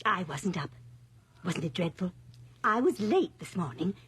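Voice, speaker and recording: A woman's voice: fairly low pitch, big pitch swings, unhurried, fairly bright tone, husky, exaggerated voice. An actress playing a sweet, chatty, forgetful elderly village lady in a radio drama, rambling cheerfully. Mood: wistful, cheerful. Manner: rambling, fluttery, cheerful hostess.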